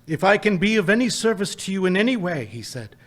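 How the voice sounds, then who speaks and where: Man doing a soft-spoken grieving dad, tender, kinda choked up, a bit high voice. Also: big pitch swings, quick pace, full character voice. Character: gentle poor father grieving his little son. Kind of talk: live radio play acting.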